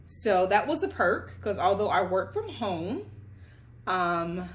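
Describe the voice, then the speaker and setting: A woman's voice: mid-range voice, big pitch swings, slow pace. Woman from Guyana telling her story. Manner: candid, chatty, conversational storytelling. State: pregnant with twins, on bed rest. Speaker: vlogger. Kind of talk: casual personal story to camera. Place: home, at a table among boxes.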